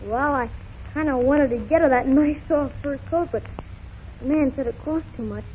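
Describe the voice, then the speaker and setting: A woman's voice: high voice, moderate pace, a little hiss or hum, lightly acted. A woman playing a poor, polite young newsboy with artless street-kid speech in a 1930s radio drama. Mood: artless, plucky. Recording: muffled 1930s radio recording.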